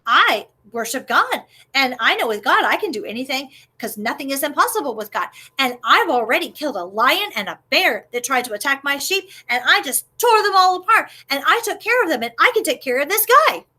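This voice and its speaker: A fearless young shepherd boy, indignant and insistent, his voice jumping high and lively as he demands why no one will fight, as a woman telling the story puts him on. He has a bright, eager edge. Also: fairly quick, few pauses, heavily acted. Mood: earnest. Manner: outraged, insistent questions.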